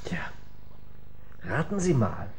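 Cocky young crook in a radio play, highish voice jumping all over, sneery. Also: male voice, sing-song, slow pace, loud music behind the voice. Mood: sneering. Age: young adult. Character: defiant, scornful young killer, a cop's son. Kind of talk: German radio crime drama acting.

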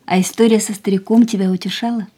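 An actress playing a frail, breathy young woman. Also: medium pitch, fairly even intonation, fast talker, natural voice. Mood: quiet, resolute. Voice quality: breathy, often whispered. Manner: quiet, tired, resolute. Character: frail, gravely ill young woman, stubborn, wistful. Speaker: actor in a radio play. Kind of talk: studio radio drama acting.

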